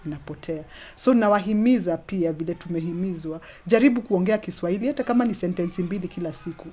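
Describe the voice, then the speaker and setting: A woman's voice: mid-range voice, mellow. A woman with a Kenyan accent talking conversationally as an interview guest, in an earnest, encouraging manner. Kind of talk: conversational talk, mixing Swahili and English.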